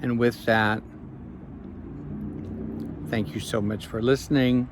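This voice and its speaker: A man, a life coach, speaking slowly and reflectively in an evening vlog recorded outdoors on a phone. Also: medium pitch, fairly even intonation, background noise. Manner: slow, measured, reflective.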